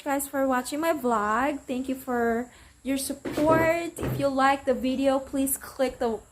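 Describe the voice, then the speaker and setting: A woman's voice: high voice, background noise. A Filipino woman whose first language is Tagalog, giving call center advice in a casual vlog and repeating phrases for emphasis. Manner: repeats phrases for emphasis, says "okay" and "guys" often. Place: unfinished house with rain on the roof.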